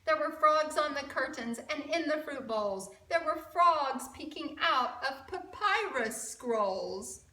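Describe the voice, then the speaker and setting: A woman storyteller reading a picture book aloud to children, expressive and deliberate, often putting questions to her listeners. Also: high voice. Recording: roomy echo, distant mic.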